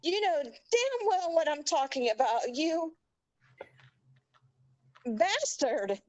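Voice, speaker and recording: A woman's voice: high voice, sing-song, slow, darkish tone, no room sound, dull audio, heavily acted. A woman playing a furious, foul-mouthed wife and mother in a heated, accusing way at a script table read. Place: remote video call rehearsal.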